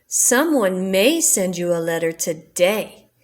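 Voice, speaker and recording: A woman's voice: on the low side, animated, takes it slowly. A woman voicing an eager, kindly male frog in a children's storybook, earnest and coaxing. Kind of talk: children's storybook read-aloud.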